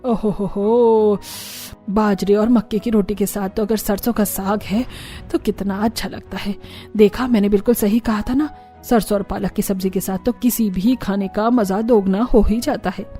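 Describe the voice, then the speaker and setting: A woman acts out a middle-aged mother-in-law in a cartoon story. The voice is mellow, smug and firm, with faint music underneath. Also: mid-range voice, steady tone, moderate pace, lightly acted. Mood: pleased. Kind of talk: Hindi cartoon story character acting.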